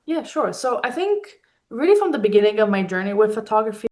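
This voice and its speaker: A woman from Israel talks as a guest in a reflective interview. Her voice sits at a middle pitch, and she feels her way through sentences haltingly, searching for the right words. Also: big pitch swings, darkish tone. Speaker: interview guest, fine art photographer.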